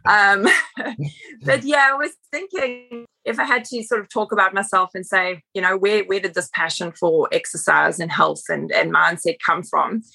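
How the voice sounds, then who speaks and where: A woman with a bright, clear voice and a South African accent gone partly Australian. She talks casually and warmly about herself as a guest on an interview podcast. She is chatty and self-deprecating, laughing easily at her own story. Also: medium pitch, steady tone, moderate pace. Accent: mixed South African and Australian. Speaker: podcast guest, personal trainer.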